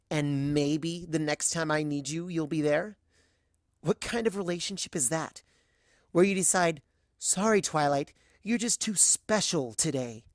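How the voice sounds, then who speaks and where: A woman plays a young female pony from a storybook, wounded and bitter. The voice sits low and accuses with sarcasm and rhetorical jabs. The sound is very dry. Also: no echo. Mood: bitter, accusing. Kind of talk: dramatised fan fiction reading.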